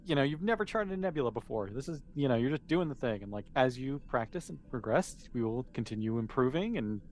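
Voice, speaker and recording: A man speaks as a game master in a mid-pitched voice. He narrates and explains in a loose, patient, conversational way, improvising as he goes. There is some noise on the recording. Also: animated, fast talker, a little mellow. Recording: clearly noisy background.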